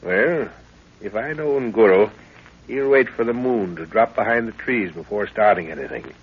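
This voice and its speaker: An actor playing a middle-aged, seasoned jungle adventurer, fatherly and commanding, with measured instructions in a 1930s radio drama. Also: fairly low pitch, expressive, breathy edge. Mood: calm, reassuring. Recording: noisy dull 1930s radio recording.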